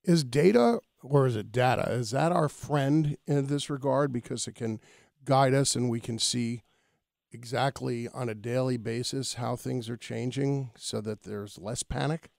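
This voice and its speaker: Male radio interviewer, conversational, with a creaky mid-range voice and a close, dry studio sound. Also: medium pitch, a little slow, darkish tone, dry recording. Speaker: radio show host.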